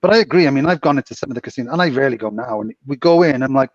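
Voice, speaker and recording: A Welsh man in his forties, hosting a casual podcast chat and telling stories in an animated, exclaiming way. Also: medium pitch, rapid-fire, dry recording. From Wales. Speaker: podcast host, casino dealer. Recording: dull, dry lo-fi sound.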